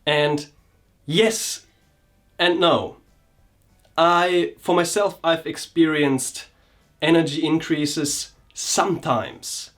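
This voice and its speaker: A man's voice: fairly high pitch, fairly even intonation, drawn-out words, long pauses, a bit bright. A man talking through his personal experience in a reflective vlog, hesitant and often restarting his sentences. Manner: hesitant, searching for words, frequent restarts. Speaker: vlogger and coach.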